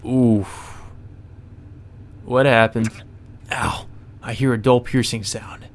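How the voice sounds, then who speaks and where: A man's voice: mid-range voice, little pitch movement, slow, slight background noise. A man reading visual novel narration aloud as a let's play, mixed with casual, sweary commentary.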